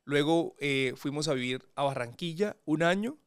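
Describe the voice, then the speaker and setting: Man, colombian accent, lawyer guest, relaxed and measured, resonant. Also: fairly high pitch, smooth. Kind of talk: conversational interview.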